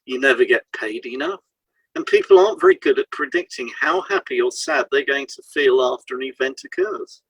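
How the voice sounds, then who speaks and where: A man's voice: mid-range voice, big pitch swings, fairly quick, vocal fry, fairly bright tone, slightly rough, dry recording, poor audio. A man reading a scripted educational piece aloud as a podcast co-host.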